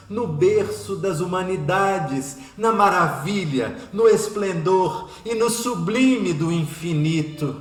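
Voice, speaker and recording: An actor playing an impassioned, devout man praying aloud to God in a radio drama, solemn, declamatory and poetic. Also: a little high. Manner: solemn, declamatory, poetic, with repeated rising invocations. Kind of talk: radio drama prayer oration. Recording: roomy echo with music underneath.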